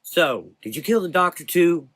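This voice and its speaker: A man voicing a tough, bitter, defiant gunfighting woman in a gothic audio drama, sharp-tongued and sarcastic. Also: fairly high pitch, lively intonation, normal speed, no echo, voice pushed up. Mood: sardonic, accusing.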